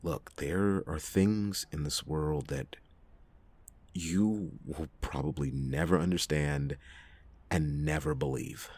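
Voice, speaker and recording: A man playing a cynical, reluctant, hard-drinking private detective in a noir ASMR roleplay, with a husky, gravelly voice and sardonic, theatrical delivery. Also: extremely low pitch, steady tone, a little mellow, exaggerated voice. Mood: guarded, intrigued. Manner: sardonic, theatrical, halting speech with drawn-out emphasis. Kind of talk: ASMR detective roleplay, acted monologue to listener.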